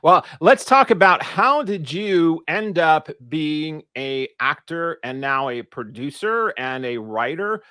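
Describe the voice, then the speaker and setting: A man's voice: fairly high pitch, animated, takes it slowly, few pauses, sharp tone, clear, resonant tone, no room sound. A man hosting an interview podcast who introduces his guest and asks questions in a rambling, enthusiastic way, often stumbling over his words. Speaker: podcast host.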